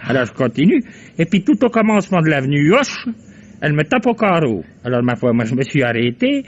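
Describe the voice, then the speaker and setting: High-voiced grumbling old cabbie. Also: male voice, big pitch swings, brisk. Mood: rambling recollection. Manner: hesitant, rambling, colloquial. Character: wary, grumbling old male Parisian cab driver. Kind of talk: old French radio drama acting. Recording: dull vintage radio recording.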